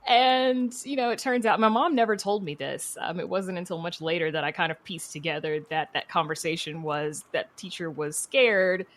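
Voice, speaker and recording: Female podcast guest with a low-pitched voice; candid, chatty, conversational storyteller. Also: fairly even intonation, moderate pace, few pauses, fairly bright tone. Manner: candid, chatty, peppered with "you know" and "like". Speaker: podcast guest, horror writer.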